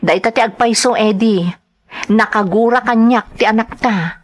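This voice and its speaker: A woman's voice: on the high side, normal speed, lightly acted. A woman with a Filipino accent playing a troubled mother working abroad in an online audio drama. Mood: hurt.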